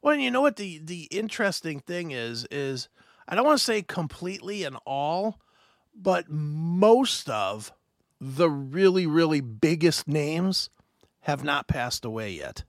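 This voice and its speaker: A man in his fifties hosting a casual podcast chat, rambling and animated with many restarts. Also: fairly high pitch, measured pace, no room sound, clean studio sound. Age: 50s. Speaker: host.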